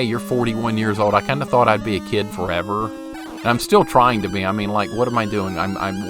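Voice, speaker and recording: A rambling, chatty man in his forties, from Alabama, talking in a fairly low voice. He keeps breaking off and restarting his sentences while chatting on a live game stream. Game music plays clearly underneath him. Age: 40s. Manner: rambling, self-interrupting, chatty. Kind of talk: casual live-stream chat while playing. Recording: game music playing behind.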